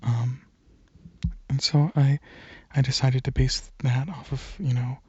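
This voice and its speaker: A young man rambling softly in a casual ASMR chat, hesitant and drifting toward a near-whisper, close to the microphone in a slightly lo-fi recording. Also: medium pitch, steady tone, slow pace, slightly rough, dry recording. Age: young adult. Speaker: ASMR channel creator.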